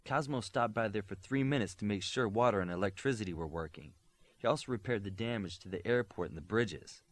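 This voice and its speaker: A man's voice: on the low side, a little fast, lightly acted. A man voicing a proud, argumentative young male superhero in an audiobook, speaking emphatically and confrontationally. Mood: worried.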